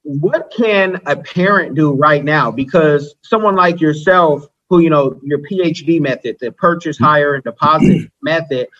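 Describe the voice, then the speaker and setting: A man's voice: a little high, unhurried, hardly any pauses, a bit bright. A man hosting an interview in a casual, conversational way, on lo-fi audio. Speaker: interview host.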